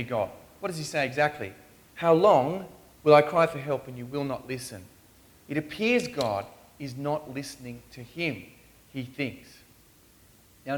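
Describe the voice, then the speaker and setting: A man's voice: on the high side, a bit bright. A man preaching a sermon in a church in Australia, earnest and pleading as he poses questions.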